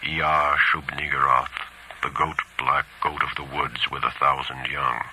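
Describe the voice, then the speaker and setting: A man acts out a monstrous alien insect creature in a horror story. The voice is extremely deep with a hard, forceful edge, chanting slow, solemn and ominous incantations. Faint noise sits on the recording. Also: little pitch movement, exaggerated voice, rough recording. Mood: ominous, reverent. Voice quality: buzzing, droning.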